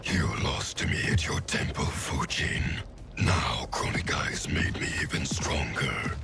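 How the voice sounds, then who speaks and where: An actor playing a boastful, menacing undead shadow warrior in a video game, with a sinister, whispery growl. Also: male voice, very deep voice, measured pace. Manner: sinister, taunting. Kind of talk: video game cutscene acting. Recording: loud game music behind voice.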